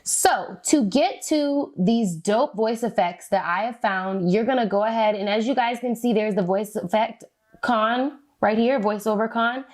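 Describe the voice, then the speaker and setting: A woman's voice: a little high, measured pace. Chatty American woman giving a casual tutorial. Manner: informal, slangy, chatty. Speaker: beauty vlogger giving a tutorial. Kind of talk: casual step-by-step tutorial chat.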